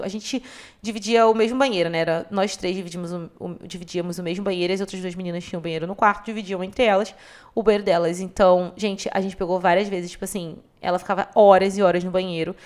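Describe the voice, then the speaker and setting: A young woman from Rio de Janeiro with a Carioca accent, hosting a podcast and telling her own story in a chatty, expressive way that keeps turning to her listeners. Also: mid-range voice. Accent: Carioca Brazilian Portuguese. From Rio de Janeiro, Brazil. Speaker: podcast host. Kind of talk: casual storytelling monologue.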